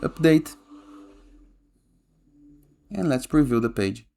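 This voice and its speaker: Male tutorial host, casual step-by-step screen voice-over, noticeable vocal fry, mid-range pitch. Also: animated, takes it slowly, mellow. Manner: frequently tags instructions with a questioning "okay?".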